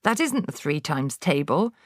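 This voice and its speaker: A woman's voice: low-pitched, sing-song, unhurried, dry recording, studio quality, exaggerated voice. A woman voicing a frightened, flustered young schoolboy crying out. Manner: crying out, panicky.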